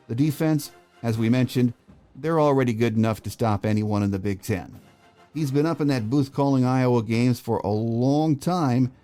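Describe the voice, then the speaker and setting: A man's voice: medium pitch, mellow. An American man hosting a sports podcast, delivering commentary and interview questions. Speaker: podcast host.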